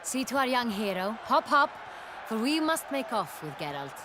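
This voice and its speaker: An actress playing an effusive, imperious duchess in a video game, speaking grandly. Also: female voice, a little high, big pitch swings, moderate pace. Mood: delighted, imperious. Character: regal duchess, adult woman, effusive and commanding. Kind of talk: video game dialogue acting. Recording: noisy crowd ambience behind.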